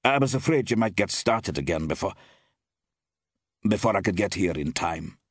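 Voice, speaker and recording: A man's voice: low-pitched, animated, normal speed, husky, no room sound, studio quality. A man voicing an earnest, urgent young man in an audiobook story, speaking in an insistent manner. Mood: eager.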